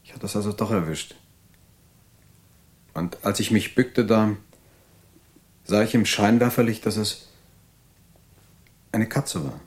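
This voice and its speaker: An actor playing an anxious, paranoid male mental patient confessing his story in a halting, brooding way in an audio drama. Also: fairly low pitch. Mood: haunted.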